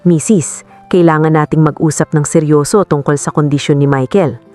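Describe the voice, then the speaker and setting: A woman voicing a serious doctor with a measured delivery in a narrated story. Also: deep voice, fairly even intonation, warm tone, faint music behind, pitched below the performer's own voice, lightly acted. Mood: grave. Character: grave, professional doctor breaking bad news.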